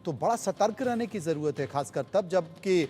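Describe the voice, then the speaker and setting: A man's voice: high-pitched, lively intonation, moderate pace, mellow. A man anchoring a live news discussion, speaking emphatically and repeating phrases for effect. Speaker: news anchor hosting a panel.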